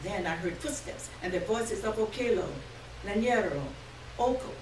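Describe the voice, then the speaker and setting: A woman giving an expressive, measured dramatic reading of a first-person story before a live room. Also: mid-range voice. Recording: distant roomy live recording, faint noise.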